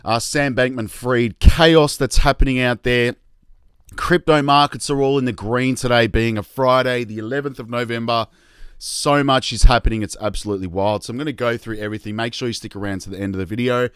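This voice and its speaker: An Australian man hosting a casual investing vlog, chatty and excitable, tired and losing his voice. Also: medium pitch, fairly quick, clean tone, no room sound, studio quality. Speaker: crypto YouTube host.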